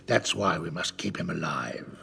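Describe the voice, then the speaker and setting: An actor playing a wily, domineering middle-aged pirate captain with a gravelly voice, theatrical and full of rustic sailor talk, in an audio drama. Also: male voice, low-pitched, animated, normal speed, bright, forceful tone, slight background noise, heavily acted. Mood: commanding.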